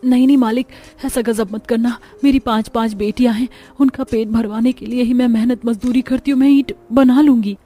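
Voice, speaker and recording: A woman's voice: a little high, steady tone, brisk, hardly any pauses, dark tone, natural voice. Woman acting a plaintive, long-suffering poor mother. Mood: pleading. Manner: plaintive, pleading, melodramatic.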